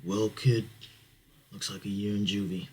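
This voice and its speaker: A man playing a stern, blunt police officer who speaks with measured authority in a dramatic horror story. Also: on the low side, little pitch movement, a little mellow, slightly rough. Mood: firm.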